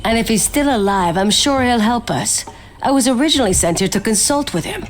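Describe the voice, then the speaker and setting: A woman's voice: on the high side, lively intonation, brisk, a little hiss or hum, natural voice. Actress as an earnest woman researcher. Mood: earnest, defensive. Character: earnest woman scientist among survivors. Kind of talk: game cutscene acting.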